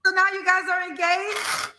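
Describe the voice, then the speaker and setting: A woman's voice: extremely high pitch, little pitch movement, normal speed, bright, forceful tone, a little hiss or hum. A woman hosting a live show, asking guests questions in a bubbly, congratulatory way. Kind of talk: interviewing callers.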